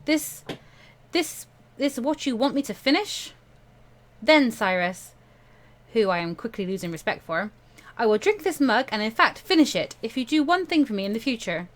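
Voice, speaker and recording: A woman's voice: a little high, very animated, normal speed. A woman voicing a squeamish, stubborn teenage student girl in a visual novel, dramatic and protesting. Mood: bolshy, indignant.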